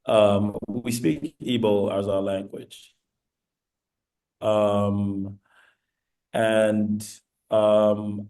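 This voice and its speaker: A Nigerian man speaks as a guest lecturer on a video call. His voice sits low and mellow, and his pitch barely moves. He talks in an easy, halting way, with lots of ums. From eastern Nigeria. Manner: relaxed, halting, many ums. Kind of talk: virtual lecture presentation.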